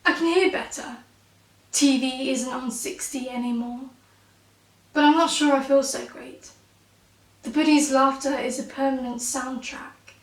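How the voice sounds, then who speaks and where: A woman in her twenties with a croaky, blocked-nose voice, performing spoken word poetry with a wry, self-mocking, punchy delivery. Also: sits high, steady tone, measured pace, reverberant, far from the mic, low-quality recording. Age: 20s.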